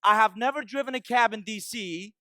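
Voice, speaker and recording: A man from Iran giving a business keynote, lightening it with jokes and asides to the crowd. His voice is high and bright, and the recording is dry and close. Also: brisk, no echo. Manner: tags lines with "right?" to the audience. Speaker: keynote speaker.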